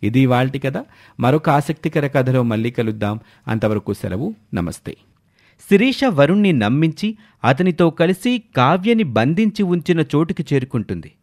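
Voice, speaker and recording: A man's voice: medium pitch, very animated, normal speed, a little mellow. A man reading scripted story narration as a storyteller.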